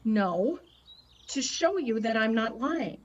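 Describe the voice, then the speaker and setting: A woman playing a calm, haunted mother in a radio play, soothing, earnest and cryptic, stubborn in what she believes. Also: on the high side, slow pace. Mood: coaxing.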